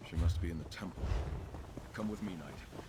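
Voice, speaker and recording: Low, resolute knight commander played by a man. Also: moderate pace, noisy background, lightly acted. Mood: resolute, urgent. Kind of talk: video game dialogue acting.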